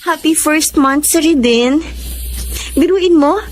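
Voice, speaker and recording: A woman's voice: high voice, takes it slowly, a little hiss or hum. A woman acting a sweet, slightly unsure young student wife in a radio drama. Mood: sweet, happy. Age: young adult.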